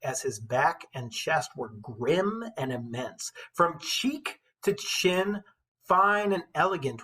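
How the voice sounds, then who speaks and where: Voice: medium pitch, fairly bright tone, slightly rough, low-quality recording. A teacher reading a poem aloud with dramatic storytelling emphasis, breaking into casual, informal commentary.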